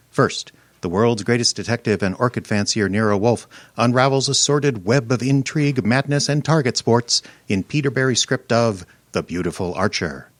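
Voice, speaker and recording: Male host for mystery radio theater: scripted, measured, theatrical, mid-pitched, with dramatic pauses and a dry recording. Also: dry recording. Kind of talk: scripted theatrical show introduction. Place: room in a home.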